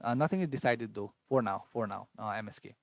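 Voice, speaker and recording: A man's voice: fairly low pitch, fast, vocal fry, dry recording. Male streamer, Newar, hesitant and thinking aloud, dry booth-like sound. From Nepal. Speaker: fantasy football livestream host. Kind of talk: casual live Q&A reading chat.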